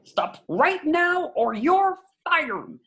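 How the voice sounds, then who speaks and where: A woman's voice: sits high, very animated, extremely slow, fairly bright tone, heavily acted. A woman playing a fussy, tyrannical middle-aged male office boss in a script read, clipped, pompous and condescending. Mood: angry, commanding. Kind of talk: amateur script table read.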